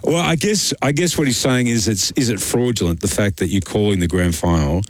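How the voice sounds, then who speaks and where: A man's voice: fairly low pitch, a little fast, no room sound. An Australian man hosting casual radio banter, teasing and probing his co-host with questions.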